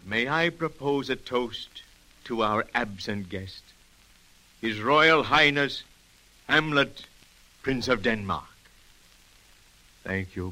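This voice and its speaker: Eccentric banquet king: ornate, halting and theatrical, slow with wide swoops, for 1930s radio drama. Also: mid-range voice, bright, forceful tone, heavily acted, poor audio. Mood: grandly welcoming. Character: courtly eccentric king hosting a banquet of imagined guests. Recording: old 1930s radio recording, music behind.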